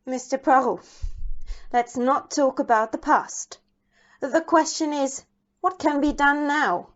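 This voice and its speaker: A woman acts out a wealthy young married lady in an audio drama. Her voice is high, with a proper, imperious manner and an anxious edge. The sound is slightly dull and muffled. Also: steady tone, takes it slowly. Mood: anxious, pleading. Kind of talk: amateur audio play acting.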